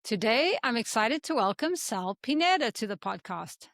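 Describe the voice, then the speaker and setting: A woman hosting a podcast, introducing her guest in an upbeat, smooth, well-rehearsed way. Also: medium pitch, a bit bright, no room sound, clean studio sound. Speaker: podcast host. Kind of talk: scripted guest introduction and interview.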